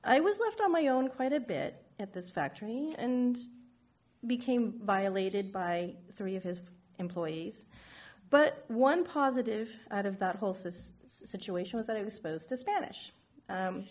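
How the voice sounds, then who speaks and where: A woman's voice: mid-range voice, darkish tone. A middle-aged woman from San Francisco giving a personal testimony, speaking frankly and reflectively about her life. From San Francisco, United States. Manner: frank and reflective, plain spoken.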